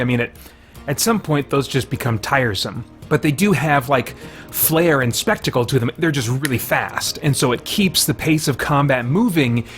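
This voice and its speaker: A man's voice: medium pitch, fairly bright tone. A man giving casual first-impressions commentary on a video game, conversational and thinking aloud as he goes.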